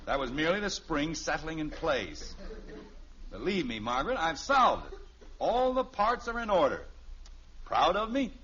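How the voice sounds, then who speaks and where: Animated, wisecracking American dad. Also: male voice, fairly high pitch, normal speed. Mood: proud, teasing. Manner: sarcastic, animated, blustering. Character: exasperated, wisecracking middle-aged sitcom father. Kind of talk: 1950s radio sitcom acting. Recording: dull, noisy vintage radio recording.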